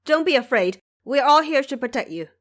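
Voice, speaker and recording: A woman's voice: high voice, lively intonation, a little fast, voice pushed up. A woman voicing a bold, loyal male courtier addressing an emperor in an audio drama. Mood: reassuring.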